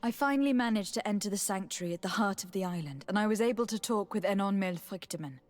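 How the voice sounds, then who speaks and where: A dutiful young noblewoman diplomat from a video game, played by a woman. Her medium-pitched voice is measured, formal and earnest. Music plays underneath. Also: expressive, darkish tone, lightly acted.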